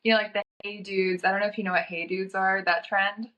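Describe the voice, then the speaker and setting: A young woman from North Carolina, a guest chatting casually, with a slightly scratchy, raspy throat from a cold and a chatty way of talking full of "like". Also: medium pitch, little pitch movement, fairly quick, lo-fi sound. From North Carolina, USA. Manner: chatty, frequent "like" filler. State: getting over a cold. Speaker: fashion model guest. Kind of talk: casual chat.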